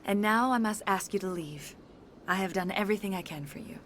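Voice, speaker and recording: An actress playing a serene, wise alien woman counselor in a video game, speaking in measured, solemn, poetic phrases. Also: mid-range voice, very noisy, natural voice. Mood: gracious, calm.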